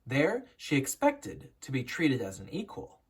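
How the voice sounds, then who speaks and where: Expressive, slightly husky picture book reader. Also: mid-range voice. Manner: storytelling, deliberate emphasis, instructive. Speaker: children's book reader and educator.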